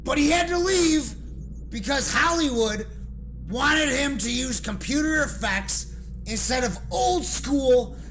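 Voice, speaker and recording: A high, animated voice delivers a scripted review. The pace is slow and deliberate, with emphatic words and dramatic pauses. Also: slight background noise, low-quality recording. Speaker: guest film reviewer.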